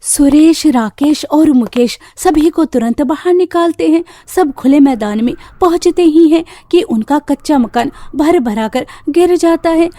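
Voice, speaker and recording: A woman storyteller with a very high voice and a soft, dark tone. She narrates a scripted cartoon tale. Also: measured pace, few pauses.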